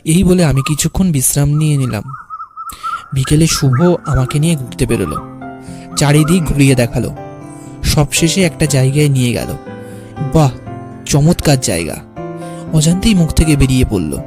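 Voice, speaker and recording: A man playing a carefree, stubborn young city poet who tells his own tale in an animated horror story. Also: a little high, moderate pace, long pauses, over music, natural voice. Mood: calm storytelling. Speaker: narrator and lead character. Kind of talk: first-person story narration and cartoon dialogue.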